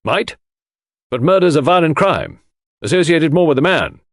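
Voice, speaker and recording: A man voicing a gruff, opinionated woman in an audiobook, speaking in blunt, clipped phrases. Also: on the high side, lively intonation, moderate pace, dry recording, clean studio sound, voice pushed up. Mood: emphatic.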